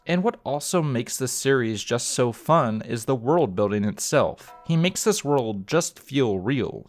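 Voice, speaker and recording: Male review host, mid-pitched voice, conversational and opinionated, scripted narration over music. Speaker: host of a video review series. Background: background music.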